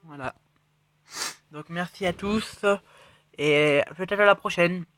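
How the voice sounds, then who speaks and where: A man with a cold talks through his mobile game replays in a rambling, excitable way, correcting himself and dropping into mutters. His voice sits a bit high. The sound is dry and close. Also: slow, no room sound. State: has a cold. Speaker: mobile game channel host. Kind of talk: casual gameplay commentary.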